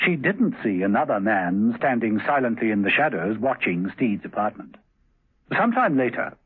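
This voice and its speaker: A man's voice: lowish voice, big pitch swings, normal speed, breathy edge. A man reading dramatic scripted narration as the narrator of a 1970s radio drama. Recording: tinny, narrow-band vintage radio recording.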